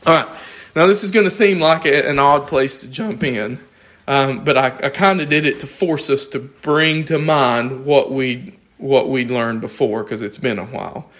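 A man's voice: mid-range voice, normal speed, fairly bright tone. A man, losing his voice, teaching an informal Bible class in a conversational, thinking-aloud way and asking the class questions.

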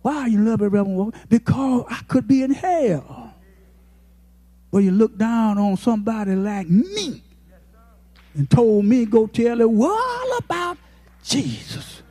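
A man in his eighties, a pastor, preaching a folksy, impassioned sermon that rises to shouted peaks. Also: very high voice, sing-song, measured pace. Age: 80s.

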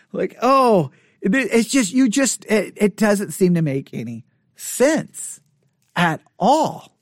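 A man leading a Bible study, thinking aloud in a halting way and often repeating words as he works through an idea. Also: very high voice, fairly bright tone, dry recording, studio quality.

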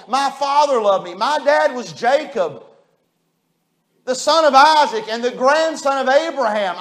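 A man's voice: very high voice, a bit bright, full character voice. A man, a preacher, voicing the biblical Joseph as an aggrieved young man who pleads that no one understands what he has gone through. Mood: pleading. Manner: pleading, indignant complaining. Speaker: pastor.

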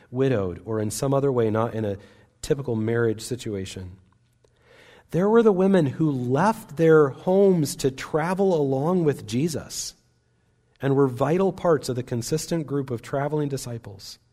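A man's voice: mid-range voice, warm tone. A man preaching a sermon in a church service, speaking in an earnest, conversational way with small restarts.

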